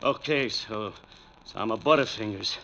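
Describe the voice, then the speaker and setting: A big hired gunman from a 1940s radio crime drama, forceful and sharp-edged. He talks tough but rattles easily, stammering under pressure. The recording is muffled, with some noise. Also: on the low side, normal speed, vocal fry. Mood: rattled, defensive. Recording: muffled, noisy old radio broadcast recording.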